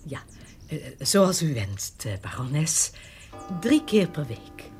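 A slow, swooping, obliging matron. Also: female voice, low voice, very animated, faint music behind, heavily acted. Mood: flattered, grateful. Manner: deferential, effusive, hesitant. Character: humble, grateful, kindly boarding-house matron, eager to please. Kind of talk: Dutch period audio drama acting.

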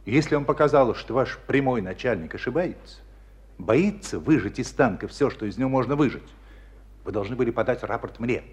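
A man's voice: medium pitch, lively intonation, fairly quick, natural voice. A man acting in a radio play as a stern, exasperated military school commander, emphatic and reproachful. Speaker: actor in a radio drama.

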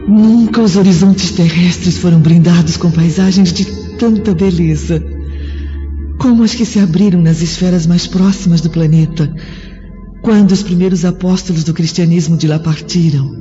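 A woman playing a gentle, wonderstruck martyr's spirit in a solemn, lyrical, declamatory radio drama. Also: fairly low pitch, steady tone, lightly acted. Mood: reverent wonder. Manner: measured, lyrical, declamatory storytelling. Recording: muffled old radio drama, long reverb, music.

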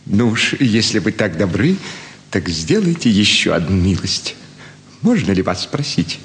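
A man's voice: on the low side, unhurried, a little hiss or hum. An actor playing a fawning elderly provincial landowner and doting father, effusive and sentimental in a classic stage radio play. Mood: pleading. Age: older. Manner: effusive, sentimental, flattering with many sighs. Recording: roomy stage hall with faint ambience.

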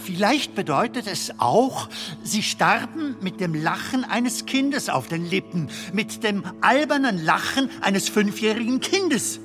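A high-voiced, naive film comedian. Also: male voice, lively intonation, a little slow. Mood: reflective. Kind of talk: radio play acting. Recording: long reverb of a tunnel, music behind.

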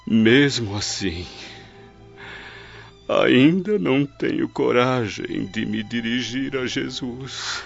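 A dying older Roman tribune in a radio drama, humble and full of remorse. The words come slowly and haltingly, weak and crackling with fry. Music plays under the dull recording. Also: male voice, medium pitch, lively intonation, exaggerated voice. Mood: earnest, humble. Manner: solemn, halting, pleading. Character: dying repentant Roman nobleman, older man, humble and devout. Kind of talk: old radio drama acting.

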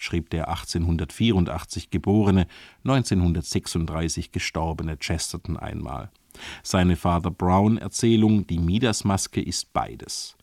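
A man presenting a scripted literary introduction on the radio in a measured, formal way. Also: low-pitched, a little mellow, dry recording, studio quality. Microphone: close studio microphone.

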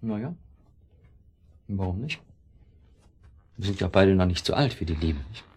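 Slippery husband in a german radio play, husky voice, always explaining himself away. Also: male voice, sits low, lively intonation, a little slow, darkish tone, full character voice. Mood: sardonic, amused. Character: evasive husband making excuses to his suspicious wife. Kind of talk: German radio drama acting.

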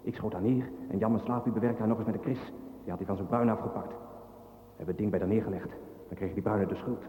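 An actor playing a callous young ex-soldier on the run in a radio play, speaking coarsely and matter-of-factly. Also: male voice, lowish voice, fairly even intonation, fast, warm tone, lightly acted. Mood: callous boasting. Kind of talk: radio drama acting. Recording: long echoing reverb.

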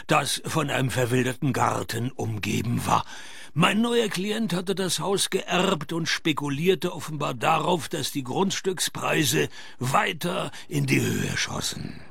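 A man playing a world-weary private detective in a radio play, deadpan and laconic. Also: fairly high pitch, slow pace, creaky, husky, no room sound, studio quality, full character voice. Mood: dry narration. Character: world-weary, dry-witted male private detective narrating his cases. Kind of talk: radio play narration and dialogue.

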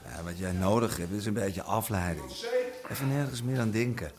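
An actor playing a breezy, teasing sporting pal in a radio drama. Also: male voice, fairly low pitch, animated, normal speed. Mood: chummy. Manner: chummy, teasing. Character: breezy, teasing boxing mate and football fan. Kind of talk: Dutch radio drama acting.

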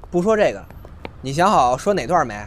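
A young man with a northern Mainland Chinese accent, acting a cocky, quick-tongued, open-hearted character in an audio drama. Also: fairly high pitch, very animated, slight background noise. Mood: breezy. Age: young adult. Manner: sparring, colloquial. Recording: music behind the voice.

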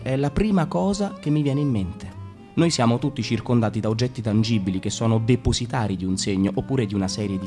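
A man's voice: medium pitch, warm tone. A man performing a reflective artist's monologue for a radio play, with emphatic turns. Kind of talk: theatrical reading of an artist's monologue.

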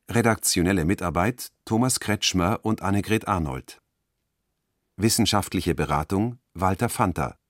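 A man reading the credits of a radio production in a formal, deliberate way. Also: fairly low pitch, no echo. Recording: dry close studio sound.

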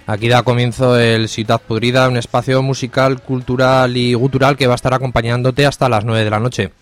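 A man's voice: medium pitch, level tone, fairly quick, over music. A man with an accent from Spain presenting a live community radio show in an informal, hesitant way. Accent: Spanish (Spain). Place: radio studio.

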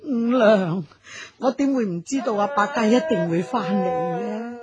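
A woman's voice: medium pitch, moderate pace, lo-fi sound, close to an everyday voice. An actress playing an elderly, worried mother-in-law in a Cantonese radio drama, nagging and sighing plaintively. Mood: lamenting.

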